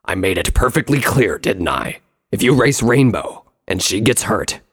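A narrator voicing a stern, menacing male warrior pony captain with a growling, husky voice and a grave, commanding manner. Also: mid-range voice, very animated, normal speed, a bit bright, no room sound, clean studio sound. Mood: stern anger.

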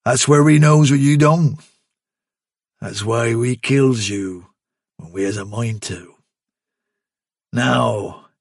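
A man's voice: mid-range voice, creaky, no echo, studio quality. A man voicing a cruel, taunting country man in a broad rural English dialect, reading a storybook. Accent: rural English dialect put on. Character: menacing rustic man, cruel and mocking.

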